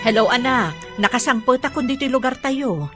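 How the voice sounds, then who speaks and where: A woman's voice: on the high side, animated, moderate pace, music in the background, close to an everyday voice. A woman with an Ilocano accent playing an anxious, affectionate mother in a radio drama.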